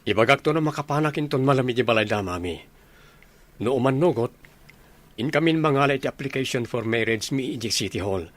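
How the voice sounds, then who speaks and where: A man's voice: medium pitch, animated, brisk, natural voice. A man with an Ilocano accent playing an earnest, lovestruck young man and devoted son in a comedy radio drama. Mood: earnest, happy.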